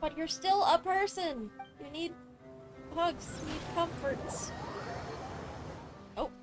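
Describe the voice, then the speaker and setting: A woman's voice: very high voice, slow pace. A woman streamer making casual comments while playing a game. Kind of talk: casual, slow reactions to a game. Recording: clear game music behind. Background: game music.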